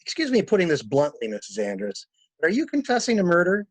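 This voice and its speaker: A shrewd male traveler acted by a man questions bluntly in a murder mystery drama. His delivery is clipped and wry, with widely swinging pitch and a close, dry sound. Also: wildly swooping pitch, fast, breathy edge, no room sound, exaggerated voice. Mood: blunt, probing. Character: male stranded traveler, shrewd, blunt, coolly inquisitive stranger.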